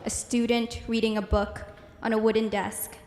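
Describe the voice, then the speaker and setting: A woman's voice: a little high, little pitch movement, normal speed, a little mellow. Teen girl presenting in an echoey hall. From Philippines. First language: Ilonggo. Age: teens. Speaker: student speaker. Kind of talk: prepared presentation. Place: large hall. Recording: echoey hall with long reverb.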